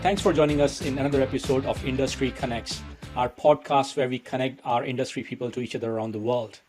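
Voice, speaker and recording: A man's voice: medium pitch, fairly even intonation, fast, a little mellow, soft background music. A man from India, hosting a podcast and asking friendly, chatty questions. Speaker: podcast host. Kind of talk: casual podcast interview.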